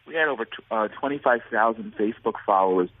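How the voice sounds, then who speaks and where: A man's voice: on the low side, quick pace. Earnest American man on a phone line. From United States. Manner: earnest, rambling with many restarts. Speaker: guest, nonprofit founder. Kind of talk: phone interview.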